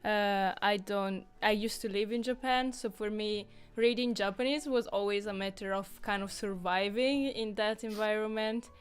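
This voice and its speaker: A woman's voice: medium pitch, fairly even intonation, normal speed, few pauses, clear, resonant tone. A woman whose first language is Italian, co-hosting a casual live-stream chat and thinking aloud as she searches for the right words. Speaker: co-host of a language-learning stream.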